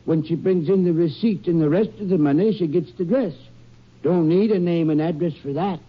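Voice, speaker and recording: A man's voice: on the high side, fairly quick, natural voice. An actor playing a nervous, eager-to-please male small-town store owner who hesitates a lot, in a 1950s radio drama. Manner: hesitant, with many uhs. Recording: dull old radio recording.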